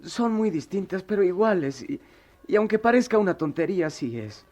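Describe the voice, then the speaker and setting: Casting: troubled young Mexican ex-pupil, proud yet remorseful, highish voice with wide swings, halting radio melodrama. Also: male voice, animated, darkish tone. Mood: emotional, halting. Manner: halting, colloquial, emotional speech. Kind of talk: melodramatic radio soap acting.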